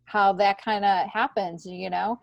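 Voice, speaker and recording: A middle-aged woman talks as a guest on a podcast, in a casual and candid style. Her voice has an ordinary pitch for a woman and sounds dry and close, with no room around it. She speaks informally, with plenty of conversational filler. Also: fairly even intonation, measured pace, vocal fry, no room sound, highs cut off. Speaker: podcast guest, stand-up comedian.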